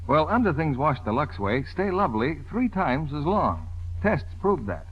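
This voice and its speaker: A man with an American accent announcing and pitching products in scripted 1940s radio style. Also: sing-song, normal speed, husky. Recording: dull vintage radio recording.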